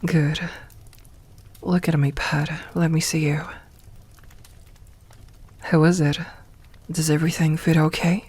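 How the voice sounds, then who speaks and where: A woman playing a doting, teasing evil lamia queen in an ASMR roleplay, soft-spoken and unhurried, with formal phrasing and near-whispered murmurs. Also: sits low, level tone, breathy edge, exaggerated voice. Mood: gentle, reassuring. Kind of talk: ASMR roleplay, intimate personal attention.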